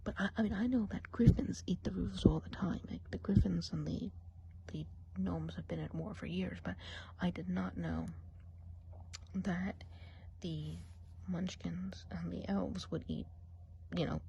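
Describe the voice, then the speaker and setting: A woman playing a friendly, gossipy witch of the woods in a hushed, chatty ASMR role play, in a soft breathy whisper. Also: fairly low pitch, fairly even intonation, measured pace, highs cut off, slight background noise.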